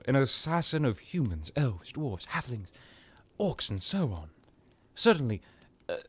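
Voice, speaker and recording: A man's voice: lowish voice, gravelly, slightly rough, heavily acted. A man playing a haughty, centuries-old vampire in a roleplay monologue, pompous and dramatic, talking to the listener in a rambling, wry way. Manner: pompous, dramatic, rambling with pauses and whispered asides.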